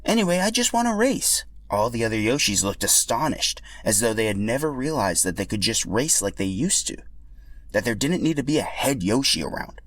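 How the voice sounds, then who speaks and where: A man narrating a scripted story for a podcast in an animated, expressive way. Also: lowish voice, a little fast, no echo.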